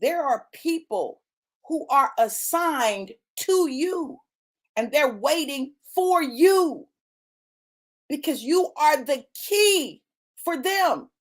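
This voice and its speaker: A woman's voice: high-pitched, animated, takes it slowly. A woman in her late fifties delivering a motivational sermon, emphatic and repetitive, addressing listeners as y'all and baby. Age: 50s. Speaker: preacher.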